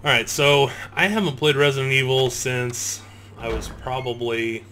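A man of thirty comments casually as he plays a video game, with an average-pitched voice. He swears freely, grumbles and mocks himself. Clear game noise sits behind him. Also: steady tone, moderate pace, sharp tone. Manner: sweary, griping, self-deprecating. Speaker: gamer, video host. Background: horror video game sound.

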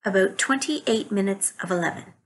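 A woman playing a reserved married witness at an 1890s trial, answering plainly and carefully in a breathy voice. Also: mid-range voice, moderate pace, close to an everyday voice. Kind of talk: dramatic reading of court testimony.

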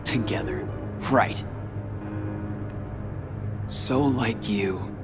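Brief: game cutscene, a cold teenage rival, slow and sneering over dark music. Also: male voice, on the low side. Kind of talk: video game cutscene dialogue.

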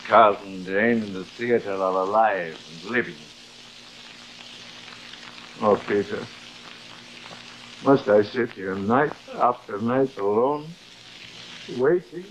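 An actor playing a proud, guilt-ridden middle-aged thespian in theatrical, halting 1950s television drama style. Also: male voice, lowish voice, a bit bright. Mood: proud, anguished. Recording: noisy old 1950s television soundtrack.

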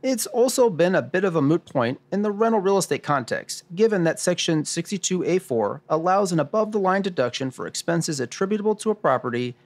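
A man playing a dry, world-weary tax expert in a noir radio drama, explaining deliberately. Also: high-pitched, lively intonation. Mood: explanatory. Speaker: host.

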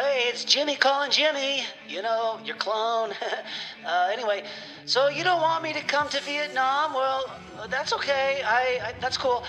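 A performer playing a rambling, laid-back caller leaving halting, chuckling messages in comedy radio theater. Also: medium pitch, close to an everyday voice, rough recording. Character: Jimmy, rambling laid-back clone leaving phone messages, name-dropping partygoer. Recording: music playing behind the voice.

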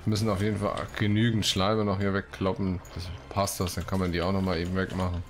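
A man's voice: deep voice, flat delivery, fast, noisy background. A man hosting a let's play, mixing casual commentary with read-out quest texts.

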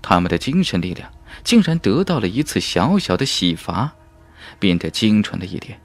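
Male narrator, lowish voice, very lively intonation, dramatic fantasy audiobook storytelling, music behind. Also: moderate pace. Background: background music.

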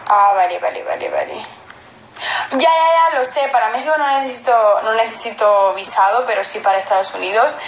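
A woman's voice: on the high side, echoey room, slight background noise, rough recording. Spanish woman in her twenties, phone livestream. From Spain. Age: 20s. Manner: switches between Spanish and halting English, answers viewers' comments. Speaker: model on a livestream. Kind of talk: casual livestream chat reading comments. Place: indoor room at home. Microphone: phone held at arm's length.

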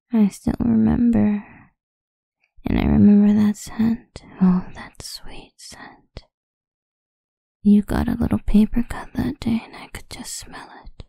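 Woman acting a breathy, whispering seductive vampire idol. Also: medium pitch, warm tone, dry recording, heavily acted. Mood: possessive, hungry. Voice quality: breathy, husky, often whispering. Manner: slow, teasing, coaxing. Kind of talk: ASMR roleplay, intimate and whispered. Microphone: close studio-style microphone, dry.

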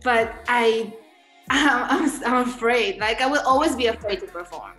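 Young Israeli woman, Hebrew native, slow soft-spoken interview answers, roomy webcam sound. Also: a little high, soft background music. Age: young adult. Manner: soft-spoken, with frequent ums and laughs. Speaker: guest singer. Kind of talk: casual interview answers over video call.

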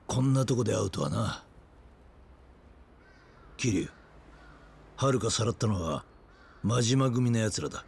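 An actor playing a weary, gruff middle-aged ex-detective in a video game, earnest and concerned. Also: male voice, on the low side, slight background noise. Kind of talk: dramatic video game acting.

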